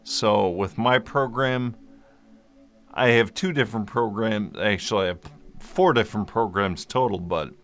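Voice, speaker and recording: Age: adult. Sex: man. Pitch: low. Pace: very slow. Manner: easygoing, self-correcting explainer. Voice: smooth, clear. Mic: close microphone. Behind: faint music. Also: fairly bright tone. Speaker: vlogger explaining a medical topic. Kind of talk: casual explainer to camera. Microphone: microphone in front of him.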